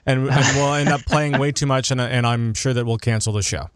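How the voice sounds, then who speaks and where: A man hosting a casual gaming podcast, chatting in an animated, jokey way and often interrupting himself. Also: mid-range voice, brisk, no room sound, clean studio sound. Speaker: podcast host.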